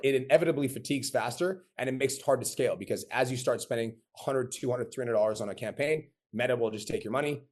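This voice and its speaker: Fast-talking blunt male business coach. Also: medium pitch, darkish tone. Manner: blunt, profane, casual. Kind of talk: live coaching webinar.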